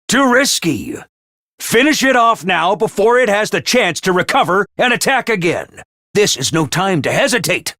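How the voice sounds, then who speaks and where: An actor playing a brisk, scheming male academy official who is theatrical and cold, swinging between booming orders and hushed asides. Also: no echo, exaggerated voice. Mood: commanding. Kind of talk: video game voice lines. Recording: dry studio voice recording.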